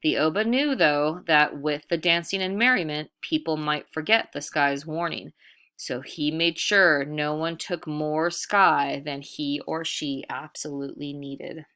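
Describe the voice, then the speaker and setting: A woman storyteller reading a picture book aloud in an expressive, dramatic way with deliberate emphasis. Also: deep voice, clean tone.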